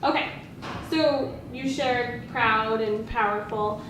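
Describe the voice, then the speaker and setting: A woman's voice: fairly high pitch, fairly even intonation, slow pace. A woman training as a teacher, leading a read-aloud discussion with encouraging questions. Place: indoor room with background noise. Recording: roomy, distant mic, noisy classroom.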